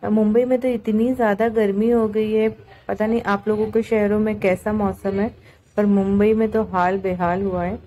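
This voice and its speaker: A woman's voice: medium pitch, flat delivery, moderate pace, warm tone. A woman narrating a vlog in a casual, chatty, conversational way. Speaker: market vlogger.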